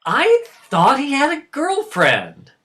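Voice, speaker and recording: A man's voice: medium pitch, lively intonation, a bit bright. Man playing a stern, defensive middle-aged father. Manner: defensive, halting. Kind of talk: dramatic dialogue acting.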